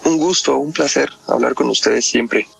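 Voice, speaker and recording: A Mexican man with a creaky, fry-edged voice talks as an expert guest. He explains things step by step and keeps checking in with short tag questions, over faint music. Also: mid-range voice, moderate pace, fairly bright tone. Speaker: psychoanalyst, podcast guest. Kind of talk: explanatory conversation about a crime case. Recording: music behind the voice.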